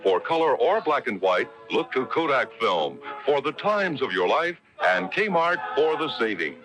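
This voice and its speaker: A man reading a scripted 1970s radio advertisement as a polished announcer making a sales pitch. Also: very animated, a little slow, over music, low-quality recording. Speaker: commercial announcer.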